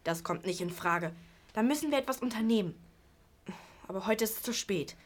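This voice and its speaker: A woman's voice: fairly high pitch, expressive, brisk. A young female performer playing a bossy, resourceful eldest sister in an amateur radio play. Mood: determined.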